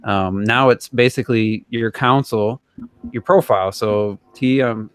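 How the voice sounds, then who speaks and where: Clear-voiced man in casual gaming chat. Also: fairly low pitch, a little slow, no room sound, clean studio sound. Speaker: podcast co-host. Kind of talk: casual gaming podcast discussion.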